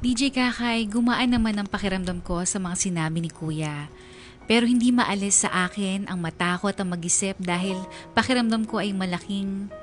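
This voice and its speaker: A woman's voice: medium pitch, lightly acted. Woman as troubled young narrator over music. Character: troubled, confused young woman confiding her family's secrets. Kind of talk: first-person radio drama narration.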